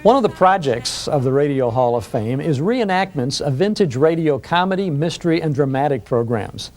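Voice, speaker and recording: A man's voice: on the high side, expressive, normal speed, darkish tone, faint music behind. An older American man presenting a scripted introduction to camera as host. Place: recording studio control room, indoors.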